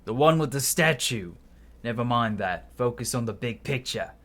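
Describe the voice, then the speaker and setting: A man voicing a brash, flirty, flippant male game character in a loud, teasing manner. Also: medium pitch, expressive, normal speed, natural voice. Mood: cocky, pushy.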